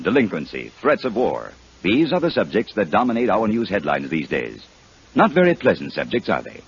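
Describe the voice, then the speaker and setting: A man's voice: fairly low pitch, slight background noise. A man reading scripted announcements in old radio style, on a dull vintage recording.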